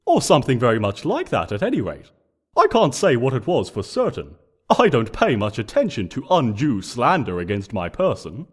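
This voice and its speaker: A man's voice: mid-range voice, huge pitch swings, moderate pace, slightly rough. A man voicing a mischievous, theatrical male chaos spirit in a comedic storybook reading, with a sarcastic, flamboyant delivery. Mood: smug. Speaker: fan fiction reader.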